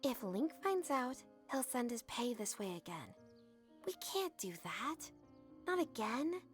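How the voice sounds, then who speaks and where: A woman's voice: fairly high pitch, very animated, measured pace, faint music behind. A woman playing a spirited, protective young huntress in an audiodrama. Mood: hushed pleading. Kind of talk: audiodrama acting.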